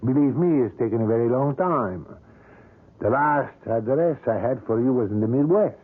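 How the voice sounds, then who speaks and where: Male actor as an obsessive older sculptor; smooth and persuasive, mid-pitched, thin lo-fi radio sound. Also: lively intonation, moderate pace, natural voice. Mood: courtly, insistent. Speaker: sculptor character. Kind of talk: 1970s radio drama acting. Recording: tinny lo-fi old radio broadcast.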